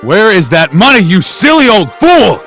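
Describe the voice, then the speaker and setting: A man's voice: high voice, very animated, normal speed, fairly bright tone, soft background music, full character voice. A man playing an earnest, hot-tempered young small-town man in a radio drama, emphatic and impassioned. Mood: furious. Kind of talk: old-time radio drama acting.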